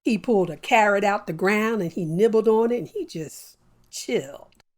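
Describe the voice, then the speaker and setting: A woman hosting a children's story show, telling a folktale with a lively, playful delivery and drawn-out phrasing. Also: mid-range voice. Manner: warm animated storyteller with slow deliberate pacing. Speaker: storyteller host. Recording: soft music bed behind.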